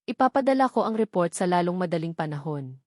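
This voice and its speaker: A woman's voice: on the low side, animated, fast, a little mellow, dry recording, close to an everyday voice. Old Filipino male investigator played by a female narrator, brief and deferential, story drama, dry recording. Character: discreet, obliging old male private investigator.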